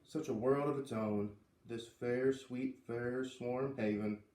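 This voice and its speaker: A man's voice: medium pitch, steady tone, slow, mellow, far from the mic. A man reading a children's storybook aloud expressively, acting out the dialogue lightly. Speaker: reader of a children's book read-aloud series. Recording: roomy, voice away from the microphone.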